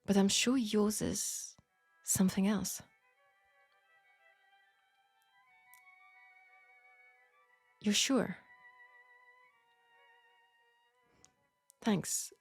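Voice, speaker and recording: Slow, breathy French-accented seamstress played by a woman. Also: medium pitch, fairly even intonation, no echo, faint music behind. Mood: gentle, hesitant. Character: flirtatious, caring Parisian seamstress in a steampunk tale. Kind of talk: intimate scripted audio roleplay addressed to the listener.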